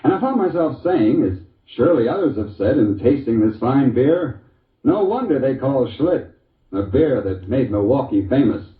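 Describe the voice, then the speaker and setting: An actor playing a genial, wry husband who tells a folksy, hesitant story in an old-time radio commercial. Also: male voice, medium pitch, sing-song, normal speed, distant mic, lightly acted. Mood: pleased. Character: genial, wry husband telling beer testimonial. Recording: muffled, echoey old radio recording.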